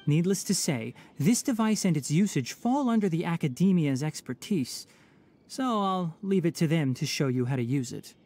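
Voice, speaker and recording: A man's voice: fairly high pitch, expressive, moderate pace, a little mellow, slight background noise. An actor playing a young male forest watcher in a video game, polite, articulate, composed and firm. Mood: helpful, explanatory. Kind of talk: video game cutscene voice acting.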